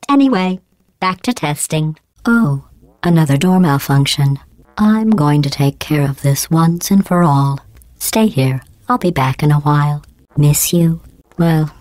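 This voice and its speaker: A woman voicing a cold, sarcastic female AI in a game, with a robotic processed sound and a deadpan, mocking, passive-aggressive delivery. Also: low voice, dry recording. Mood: sly, confiding. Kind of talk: video game voice acting.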